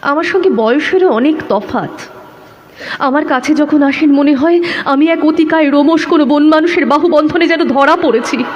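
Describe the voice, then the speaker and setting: A woman playing an unhappily married lover in an audio drama, speaking in a measured, wry, resigned way. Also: high voice, close to an everyday voice. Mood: bitter, resigned. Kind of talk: Bengali audio drama dialogue. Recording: dull lo-fi, faintly noisy.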